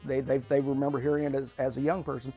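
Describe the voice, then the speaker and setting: A man's voice: mid-range voice, level tone, quick pace, mellow, faint music behind. A man fondly recounting an audience's reaction in an interview. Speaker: radio theater member.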